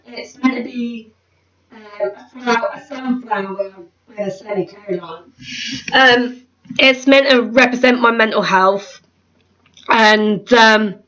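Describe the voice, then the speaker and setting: A woman's voice: a little high, a bit bright. A woman in her twenties chatting to camera at home, rambling with lots of ums and self-corrections. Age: 20s. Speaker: vlogger. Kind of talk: casual chat to camera. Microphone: camera, then webcam. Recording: echoey room.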